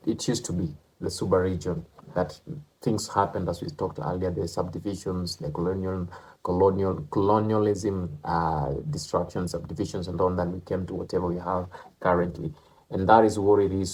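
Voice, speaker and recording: A Kenyan man with a Luhya-tinged accent talks loosely about history, circling back and repeating words as he goes. His voice sits fairly low and carries a husky, slightly rough edge. He keeps checking whether his listeners are following him. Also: low-quality recording. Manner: rambling, repeats and restarts, asks listeners "you get that?". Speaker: channel host. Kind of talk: casual talk on history.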